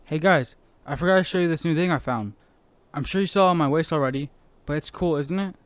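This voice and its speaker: A fairly high, dry voice of an eager youngster played by a man in an audio drama. He sounds like the small one of the team, keen and quick to defend himself. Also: smooth, dry recording, close to an everyday voice.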